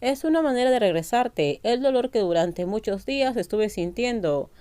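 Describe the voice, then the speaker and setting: A woman's voice: fairly high pitch, animated, moderate pace, warm tone, clean tone, no echo, natural voice. A woman voicing an insecure but feisty young man in love in a narrated romance story. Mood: firm, tender.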